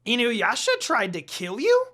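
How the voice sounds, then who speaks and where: A narrator voicing a small, indignant male demon servant who complains loudly in a fan fiction reading. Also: medium pitch, huge pitch swings, unhurried, pitched above the performer's own voice, exaggerated voice. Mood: shocked. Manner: loud, exclaiming, complaining.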